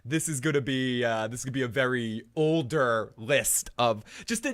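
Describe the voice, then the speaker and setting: A middle-aged man with a Boston accent hosts a casual live stream, rambling and cursing with excitable outbursts, saying he is sick. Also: medium pitch. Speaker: podcast host.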